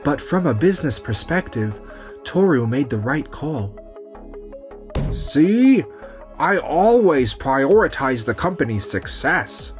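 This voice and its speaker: The self-assured salaryman in an animated office romcom , acted by a man, making his case slowly with big rises and falls. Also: a little high, soft background music. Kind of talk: scripted manga dub acting.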